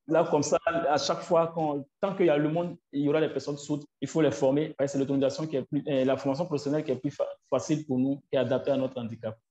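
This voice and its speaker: A man answering in a webinar interview, halting and repeating himself as he searches for words. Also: on the high side, steady tone, dark tone. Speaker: association leader guest. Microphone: video call. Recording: echoey, muffled online call audio.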